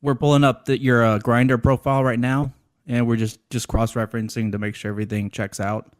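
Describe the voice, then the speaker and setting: A man playing it straight as an official-sounding agent on a prank phone call, speaking in a hesitant, deadpan patter. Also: mid-range voice, a little fast, no echo, studio quality. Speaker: prank caller posing as consumer center agent.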